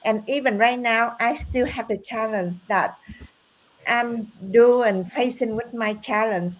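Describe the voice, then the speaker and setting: Slow, halting woman, native Vietnamese speaker. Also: a little high, low-quality recording. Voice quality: halting simple English with dropped words. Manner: slow and earnest, pausing to find words. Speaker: business coach. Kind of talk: live online testimony.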